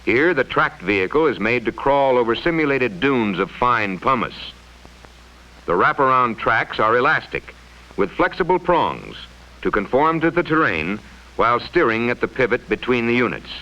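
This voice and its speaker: Casting: male narrator for a mid-century science film, explanatory and formal, animated intonation, muffled lo-fi vintage sound. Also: mid-range voice, moderate pace.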